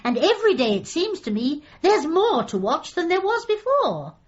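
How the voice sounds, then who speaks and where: Meek, high-voiced British woman dog owner. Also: big pitch swings, normal speed, highs cut off. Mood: sheepish, rueful. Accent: British English. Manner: timid, apologetic, wistfully comic. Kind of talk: radio comedy drama acting.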